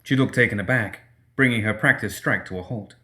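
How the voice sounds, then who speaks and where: A male narrator with a fairly low voice reads a dramatic short story. His delivery is scripted storytelling, as in an audiobook. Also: fairly quick, pushed and bright.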